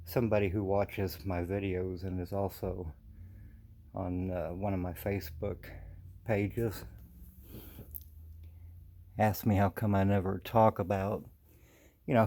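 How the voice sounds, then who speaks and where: A man with an American accent chatting casually and haltingly for a video, his articulation a little slurred from missing teeth. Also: sits low, dark tone, a little hiss or hum. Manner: hesitant, halting, folksy. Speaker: vintage audio collector presenting his channel. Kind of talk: casual unscripted video chat.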